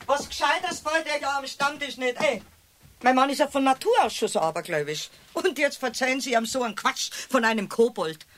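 An actress playing a scolding, no-nonsense Bavarian wife in a radio play, snappish and exasperated. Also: female voice, high-pitched, a little fast, lightly acted. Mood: indignant. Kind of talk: 1970s radio play dialogue.

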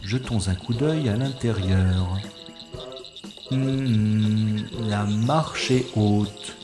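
A man's voice: lowish voice, very slow, music in the background. An actor playing a wry male secret agent who narrates his own sneaking through a manor in a sci-fi audio drama. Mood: wary. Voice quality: dry, ironic inner monologue. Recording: echoey room with long reverb.

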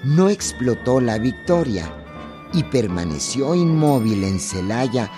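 A Mexican man narrates history for radio from a script. His delivery is slow with wide, animated intonation, set over music. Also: mid-range voice.

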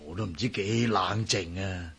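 A man's voice: sits low, moderate pace, creaky, a bit bright. An actor playing a fussy, superstitious old male servant in a radio drama, flustered and stammering with frequent sighs, in Hong Kong Cantonese. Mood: agitated, alarmed. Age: older.

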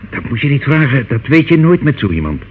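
An actor playing a devious older uncle in a radio drama, glib, reassuring and condescending. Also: male voice, mid-range voice, very animated, a little fast, natural voice. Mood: evasive, soothing. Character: smooth, devious older uncle, soothing and patronising. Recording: phone-like, noisy old radio play recording.

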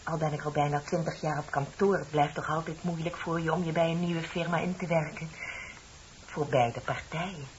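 An actress playing a chatty, flattering office secretary in her thirties in a radio play, with a polite, effusive manner. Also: deep voice, normal speed, lightly acted. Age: 30s. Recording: dull, noisy old radio recording.

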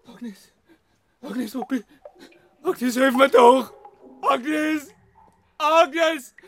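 A frantic Bavarian woman in a radio drama. Her voice is very high and her delivery drags with raw, pleading emotion. There is faint noise and clicking in the recording. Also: very slow. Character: frantic woman calling desperately for help.

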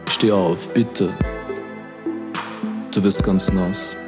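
An actor playing a pleading, urgent companion in a radio play, coaxing in short, halting phrases. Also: male voice, low-pitched, fairly even intonation. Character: pleading, urgent male companion lost in a forest. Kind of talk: slow radio-play acting. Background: music.

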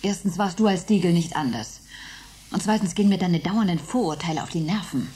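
An actress playing a touchy, worried middle-aged wife and former stage performer, theatrical and reproachful, in a German science fiction radio play. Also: female voice, on the low side, brisk, mellow, natural voice. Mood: indignant.

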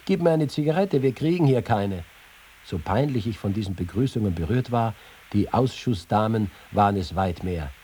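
A man's voice: lowish voice, expressive, normal speed, warm tone, slight background noise, close to an everyday voice. An actor voicing a wry young reporter-writer reading his own letters and memories in a radio feature. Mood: amused recollection.

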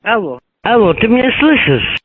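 A woman's voice: a little high, very animated, normal speed, husky, dry recording. A woman on a phone call, abusive and scolding, swearing at the other side. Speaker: phone caller. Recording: tinny phone line.

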